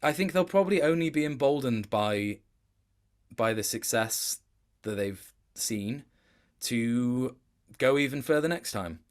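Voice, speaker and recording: A man speaking as an interview guest in a casual chat, thoughtful and halting, often reworking his sentences as he goes. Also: mid-range voice, lively intonation. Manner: thoughtful, halting, with many self-corrections.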